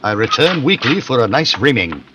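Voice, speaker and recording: A man's voice: medium pitch, very animated, moderate pace, dull audio, noisy background. A man doing a tongue-in-cheek, mock-theatrical comic commentary over an old radio play. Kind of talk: bawdy heckling riff over a radio play. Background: old radio drama with music.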